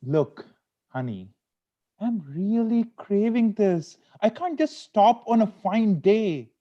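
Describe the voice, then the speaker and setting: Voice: medium pitch, very animated, takes it slowly, lo-fi sound. A performer in an amateur table read playing a stressed, sweet-toothed lawyer husband who bickers, wheedles and pleads like he is in court. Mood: craving, pleading. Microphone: video call.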